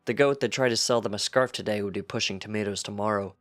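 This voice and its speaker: A man's voice: on the low side, fast talker, dry recording, soft background music. A man reading a piece of fiction aloud as scripted narration.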